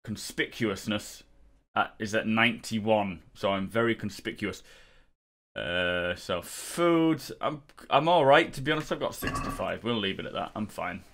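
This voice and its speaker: A man's voice: lowish voice, a little slow, gravelly, fairly bright tone. A man giving casual playthrough commentary as host of a gaming video, sounding slightly tipsy. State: slightly drunk, self-reported.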